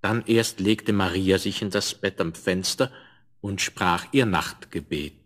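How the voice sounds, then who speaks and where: Low, husky male storyteller. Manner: measured, expressive storytelling. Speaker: narrator. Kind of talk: scripted fairy-tale narration, 1970s German radio play.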